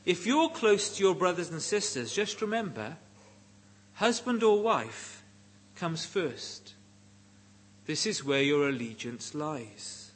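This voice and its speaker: A middle-aged Welsh man preaches in a fairly high, husky voice. His pitch moves in wide, lively swings. He delivers the sermon slowly, setting out each phrase with deliberate emphasis. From Wales.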